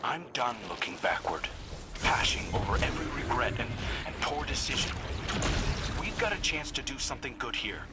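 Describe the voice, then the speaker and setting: Man playing a firm, plain-spoken soldier, medium pitch. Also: big pitch swings, moderate pace, very noisy, natural voice. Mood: firm, weary. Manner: firm, matter-of-fact. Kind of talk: video game character acting. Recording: voice under loud music.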